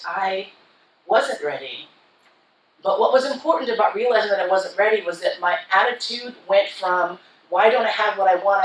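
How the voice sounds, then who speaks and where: A woman giving a keynote talk to a live audience, telling her story in a frank, profane and comic way. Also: medium pitch. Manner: frank, profane and comic, acting out her own past lines. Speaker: keynote speaker. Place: conference hall. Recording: roomy hall, voice from far off.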